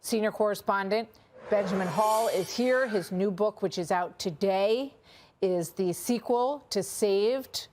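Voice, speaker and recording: A woman's voice: mid-range voice, a little slow, noisy background. An American woman working as a news anchor, presenting live and reading out statements.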